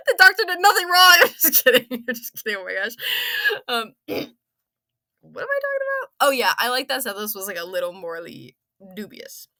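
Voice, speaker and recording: Bright-voiced, playful young woman rambling casually. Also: on the high side, wildly swooping pitch, normal speed, pauses often, no room sound, studio quality. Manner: giggly, playful, slangy. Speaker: video game story reviewer.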